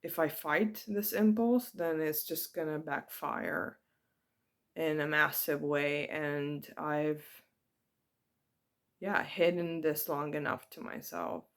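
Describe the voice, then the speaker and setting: A woman giving a personal spiritual reflection to her viewers. She speaks in a hesitant, soft-spoken and heartfelt way, with many ums. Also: deep voice, takes it slowly. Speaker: spiritual vlogger.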